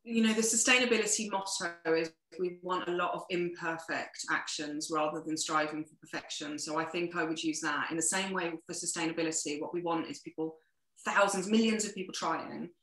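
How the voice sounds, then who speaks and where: A woman speaks earnestly, giving practical advice with frequent restarts. Her voice sits slightly low. The room around her is echoey. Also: fairly even intonation, a little fast, a bit bright. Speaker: sustainability guest. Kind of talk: interview conversation.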